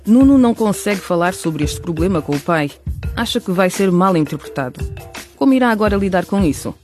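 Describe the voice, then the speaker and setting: Male narrator, high pitch, scripted radio serial announcement, faint music behind. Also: expressive, a little fast, darkish tone.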